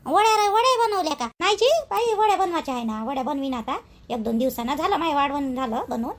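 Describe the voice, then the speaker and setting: Gossipy cartoon village housewife played by a woman, Vidarbha Marathi accent, extremely high childlike pitch, colloquial and expressive. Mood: nosy, insistent. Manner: colloquial, expressive, drawn-out calls. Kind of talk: cartoon dialogue in rural gossip.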